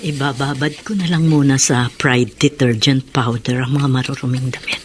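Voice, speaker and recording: A woman's voice: very deep voice, fairly even intonation, moderate pace, slight background noise. An actress playing an anguished, careworn middle-aged mother, pleading and emotional in radio drama style. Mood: weary reproach. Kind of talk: Filipino radio drama acting.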